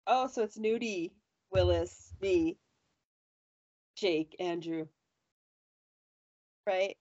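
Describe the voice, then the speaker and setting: A woman's voice: fairly low pitch, darkish tone, no room sound. Woman, forties, podcast co-host, teasing banter, lo-fi sound. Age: 40s. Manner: teasing, quick interjections. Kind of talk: casual group chat. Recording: dull lo-fi sound.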